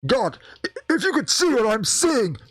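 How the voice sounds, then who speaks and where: A man's voice: very high voice, very animated, normal speed, fairly bright tone, dry recording, pitched above the performer's own voice, heavily acted. A man playing a terrified occultist calling from a crypt, shaky and stammering in a radio play. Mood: panicked horror. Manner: stammering, breathless exclamations.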